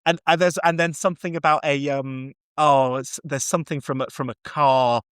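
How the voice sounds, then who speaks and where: A man chatting casually about films, acting out bits of dialogue as he tells it in an animated way. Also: a little high, normal speed, clear, resonant tone, no room sound, studio quality. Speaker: podcast guest film reviewer. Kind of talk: casual, chatty film discussion.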